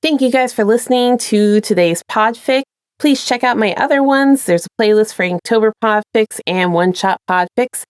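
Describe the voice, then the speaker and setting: A woman's voice: fairly high pitch, moderate pace, clean tone, dry recording, studio quality. A woman presenting and reading her own fan fiction as a podfic narrator, in an informal storytelling style. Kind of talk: casual intro and scripted story narration.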